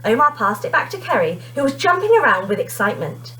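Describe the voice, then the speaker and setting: Expressive woman reading a children's story. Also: fairly high pitch, quick pace, poor audio. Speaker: teacher reading a story to pupils.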